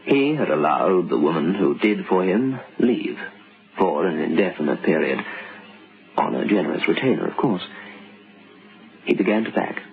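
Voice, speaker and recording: Sly old-radio storyteller, low n gravelly, wry, kinda muffled recording. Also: male voice, expressive, moderate pace, pauses often, soft background music. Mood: dry, suspenseful. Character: knowing, sly storyteller of a suspense tale. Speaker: narrator. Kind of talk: dramatic radio story narration.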